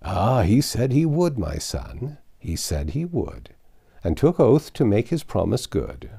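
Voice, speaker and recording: Storybook abbot, elderly, anxious and insistent, archaic delivery, mellow tone, played by a male reader. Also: on the low side, wildly swooping pitch, slow pace, creaky, husky, heavily acted. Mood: pleading. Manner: pleading, solemn archaic speech.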